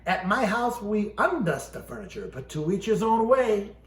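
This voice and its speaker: Earnest storybook maid, read by a man: cheerful, exclaiming, lively pitch, roomy recording. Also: normal speed. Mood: puzzled, earnest. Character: cheerful, earnest, literal-minded housemaid woman. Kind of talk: children's storybook character voice. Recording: echoey room, faint background noise.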